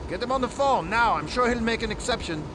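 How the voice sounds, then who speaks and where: A man's voice: very high voice, lively intonation, fast talker, background noise. A man voicing a stubborn, exasperated wartime delivery driver in a video game, indignant and pleading.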